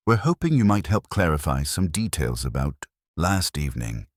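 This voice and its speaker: A man's voice: extremely low pitch, big pitch swings, moderate pace, dry recording, studio quality, exaggerated voice. A man voicing a courteous, methodical police inspector in a mystery story, considerate and casually probing. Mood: polite probing.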